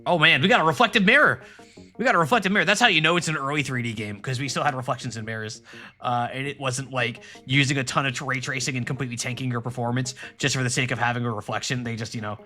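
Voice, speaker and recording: A man's voice: mid-range voice, steady tone, quick pace, pushed and bright, soft background music. A man from Rhode Island, streaming a game and chatting to his viewers in a rambling, joking way. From Rhode Island, New England. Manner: rambling, joking, talks to chat and the screen. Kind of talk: casual let's-play commentary.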